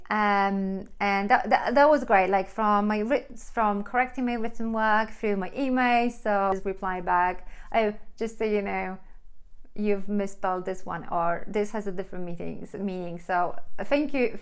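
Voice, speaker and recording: A woman's voice: medium pitch, a little slow, clear, resonant tone. A woman with a Polish accent gives encouraging workplace advice in her second language. She talks earnestly to the camera, restarting phrases and reaching for fillers as she goes. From Poland. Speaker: vlogger giving workplace advice. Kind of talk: direct-to-camera advice talk.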